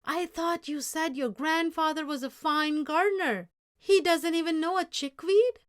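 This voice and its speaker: A woman takes on a male boss from a children's storybook. He is emphatic and exclaiming, quick to temper yet fair. The delivery is slow and lifted above plain narration. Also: high voice, pitched above the performer's own voice. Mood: shocked, angry. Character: upset boss, a man, shocked, angry, then fair. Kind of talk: storybook character dialogue.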